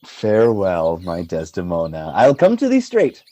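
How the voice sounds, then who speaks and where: Male actor as jealous noble commander, theatrical, huge pitch swings, tender to forceful, Shakespearean audio drama. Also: wildly swooping pitch, moderate pace. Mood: tender, indulgent. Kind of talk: Shakespearean radio play acting.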